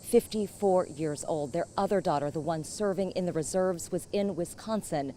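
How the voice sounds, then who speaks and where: A woman TV news reporter reading a scripted story in a measured, steady voice. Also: lowish voice, a little hiss or hum.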